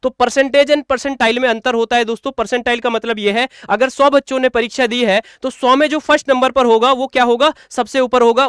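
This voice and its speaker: A man's voice: extremely high pitch, fairly bright tone, clean tone, no echo, clean studio sound. A man giving a step-by-step screen tutorial as a coaching presenter, repeating himself as he instructs viewers. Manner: repetitive, instructional, addresses viewers as friends.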